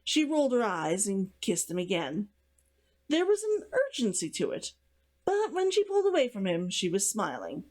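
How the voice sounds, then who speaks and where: A woman narrates a novel in an expressive storytelling manner. Her medium-pitched voice moves up and down in a lively way. It sounds like an author reading her own prose aloud. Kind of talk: scripted audiobook narration.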